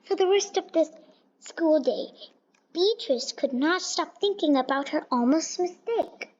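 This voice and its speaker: A woman with a high-pitched voice reads a children's storybook aloud. Her delivery is expressive, with small stumbles and repeated words here and there. The recording is dull and lo-fi. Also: a little slow. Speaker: storybook reader. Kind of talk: children's picture book read-aloud. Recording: dull, lo-fi sound.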